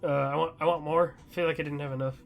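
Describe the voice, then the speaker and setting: A man's voice: on the high side, steady tone, racing, gravelly. A man hosting a podcast, chatting casually about gaming news in a rambling, loosely conversational way. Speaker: podcast host.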